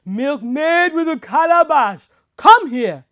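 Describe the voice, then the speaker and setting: A woman voicing a mischievous boy who shouts from inside a hollow tree, pretending to be the tree. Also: big pitch swings, a little slow, exaggerated voice. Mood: booming, teasing. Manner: loud booming call.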